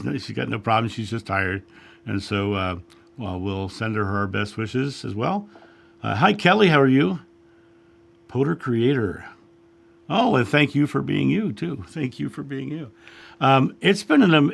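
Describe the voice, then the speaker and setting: Gravelly, slightly raspy male livestream host. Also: medium pitch. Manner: friendly, chatty, reads names from chat with frequent fillers. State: slightly raspy voice from a long week. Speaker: livestream host, spiritual guide. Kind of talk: casual livestream chat greeting viewers. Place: home studio.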